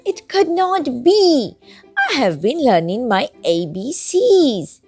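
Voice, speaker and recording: An indignant little storybook animal acted by a woman insists on its innocence. The voice is high and sing-song, swooping widely up and down over background music. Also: sing-song, slow, darkish tone, breathy edge, heavily acted. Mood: defensive. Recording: music bed under the voice.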